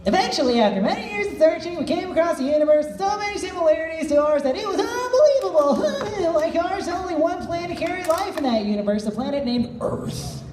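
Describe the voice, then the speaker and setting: A man voicing a chatty female cartoon creature in a high falsetto, with a sing-song, exaggerated reading. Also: lively intonation, fast, hardly any pauses, recorded from a distance, a crowd in the background. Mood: sing-song explaining. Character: chatty female Mew reciting a long speech, high-pitched. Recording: echoey convention panel room, off-mic.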